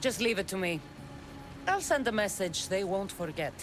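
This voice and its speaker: Actress as a blunt, expressive ancient warrior heroine. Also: female voice, mid-range voice, moderate pace, lightly acted, poor audio. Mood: resolute. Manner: blunt, wry. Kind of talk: dramatic video game voice acting. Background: music.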